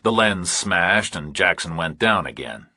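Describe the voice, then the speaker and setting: A man reading a scripted thriller audiobook with measured, clipped, dramatic phrasing. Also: sits low, sing-song, pushed and bright, slightly rough, no echo, clean studio sound. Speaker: audiobook narrator.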